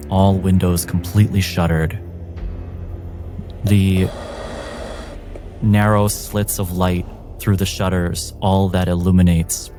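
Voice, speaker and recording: A man runs a tabletop game with suspenseful narration. His voice sits very low and moves slowly, with music clearly playing behind him. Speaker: game master.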